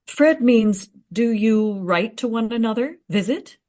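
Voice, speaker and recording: A woman's voice: fairly high pitch, expressive, a little slow, mellow. A woman playing a helpful documentary team member in a radio play, speaking directly to explain things. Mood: matter-of-fact.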